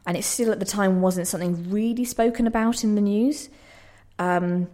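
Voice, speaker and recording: A woman's voice: mid-range voice, fairly even intonation, moderate pace, warm tone. A young woman giving reflective interview answers in a hesitant, self-deprecating, thoughtful way. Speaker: playwright being interviewed.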